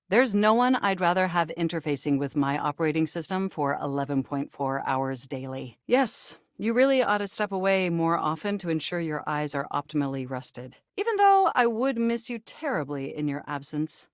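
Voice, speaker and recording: Nerdy talking computer for a dating game, lowish voice, really expressive, formal and smitten. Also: female voice, no echo, clean studio sound. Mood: smitten, gushing. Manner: formal, wordy, precise, nerdy diction. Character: earnest, lovestruck, anxious talking desktop computer. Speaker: video game character voice actor. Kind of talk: scripted dating game dialogue.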